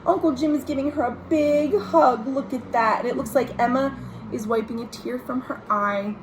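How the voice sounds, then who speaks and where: A woman's voice: high voice, fairly even intonation, normal speed, background noise. An American woman reading a picture book to young children, explaining it simply and expressively as a librarian at storytime. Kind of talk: children's picture-book read-aloud with commentary. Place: recorded at home.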